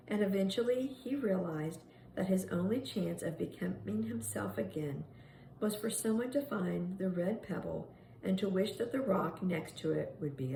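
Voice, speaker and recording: A woman reading a children's picture book aloud in an expressive, dramatic storytelling manner meant for young children. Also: mid-range voice, creaky. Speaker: storybook reader. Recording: echoey room with faint background noise.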